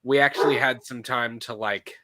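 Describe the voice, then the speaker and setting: A man co-hosting a casual movie podcast, a little stuffy from allergies, animated and quick to laugh, often restarting his sentences. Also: mid-range voice. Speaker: podcast co-host. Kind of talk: casual chat.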